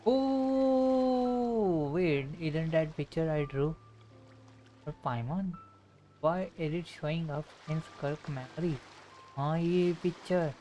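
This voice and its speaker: A man's voice: a little high, slow, mellow, highs cut off, faint music behind. A man with an Indian accent, a native Hindi speaker, chatting casually while livestreaming a game.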